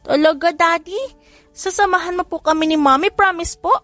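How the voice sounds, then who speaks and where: A high, small voice of a little boy, acted out by a woman narrator, sweet and eager to please. He sounds childlike and polite, with a wistful pleading edge, as in an audio romance drama with music underneath. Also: normal speed, fairly bright tone, no echo.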